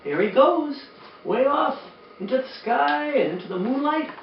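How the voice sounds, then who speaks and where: An older man hosting a children's storytime, speaking expressively to young listeners with drawn-out emphasis. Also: very high-pitched, expressive. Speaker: children's storytime host and reader, former teacher. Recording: roomy, distant, dull sound with faint noise.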